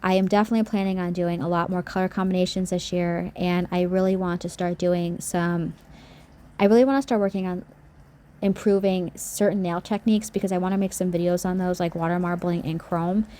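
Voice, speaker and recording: A woman's voice: lowish voice, fairly even intonation, a little fast, mellow. A woman recording a casual nail-art video voiceover. Her voice is slightly scratchy from a sore throat, and she talks in a chatty, rambling way with frequent restarts.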